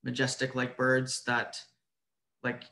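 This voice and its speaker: A man's voice: mid-range voice, monotone, slow, creaky, sharp tone, slight background noise. Man presenting in an echoey room. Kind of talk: informal slide presentation. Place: indoors on a video call. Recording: roomy echo on webinar audio.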